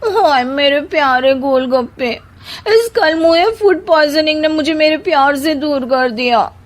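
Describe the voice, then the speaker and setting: A high-pitched, theatrical young cartoon wife. She whines and gushes dramatically, and her bright, high voice rises over everyone else's. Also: a little slow. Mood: dramatic. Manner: dramatic, whiny. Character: dramatic young wife obsessed with street snacks. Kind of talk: animated cartoon dubbing.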